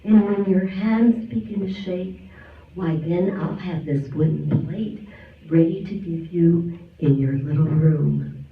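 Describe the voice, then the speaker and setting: A man's voice: sits high, takes it slowly, vocal fry, heavily acted. An earnest little boy from a folk tale, read by a man, speaks plainly in a raised voice. It sounds thin and echoey, far from the microphone. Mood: matter-of-fact. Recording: distant echoey hall recording, thin and phone-like.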